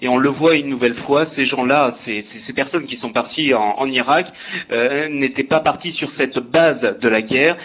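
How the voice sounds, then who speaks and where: A man's voice: medium pitch, normal speed, fairly bright tone, highs cut off. A man giving spontaneous spoken commentary as a guest on a talk show. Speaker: regular guest giving a reaction.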